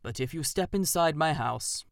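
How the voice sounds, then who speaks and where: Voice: medium pitch, expressive, vocal fry, no echo, studio quality, voice pushed up. A reader putting on a stately old male owl. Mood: grave, formal. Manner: formal, measured, aloof.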